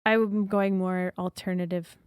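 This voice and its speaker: A young woman, a singer-songwriter guest, talking casually and thoughtfully in a podcast interview, soft-spoken with many fillers. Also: fairly low pitch, flat delivery, a little slow, clear, resonant tone, dry recording.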